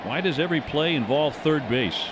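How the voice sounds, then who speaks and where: A man's voice: fairly high pitch, fairly quick. A man calling live baseball play-by-play on the radio in descriptive, clipped phrases that follow the action. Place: ballpark broadcast position. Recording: noisy outdoor stadium ambience. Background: steady outdoor stadium noise.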